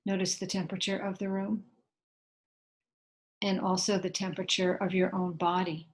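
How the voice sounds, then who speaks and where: A woman leading a guided meditation in a gentle, unhurried way, leaving long pauses between short instructions. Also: medium pitch, flat delivery.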